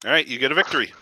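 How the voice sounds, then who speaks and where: A man running an online tabletop game as game master, relaxed and joking, often laughing. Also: mid-range voice, no room sound, low-quality recording.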